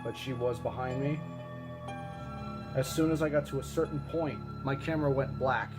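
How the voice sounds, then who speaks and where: A man's voice: medium pitch, fairly even intonation, normal speed, close to an everyday voice. Male actor as earnest eyewitness, grave and suspenseful, emphatic delivery, mid-range voice over prominent music. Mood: grave, recounting. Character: earnest male eyewitness spinning a grave supernatural disaster theory. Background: music behind the voice.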